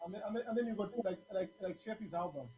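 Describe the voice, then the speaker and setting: A man's voice: high voice, racing, warm tone. A man with a Zambian accent hosting a casual radio interview, repeating words as he builds his point. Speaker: radio show host and DJ. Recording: faint electrical hum and noise.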